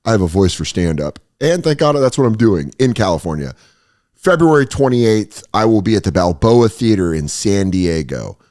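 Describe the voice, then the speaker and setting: A male comedian hosting a podcast with an animated, swinging pitch. He riffs loosely and playfully. Now and then he slips into quick mocking impressions. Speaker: podcast host and stand-up comedian. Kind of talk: casual comedic podcast chat.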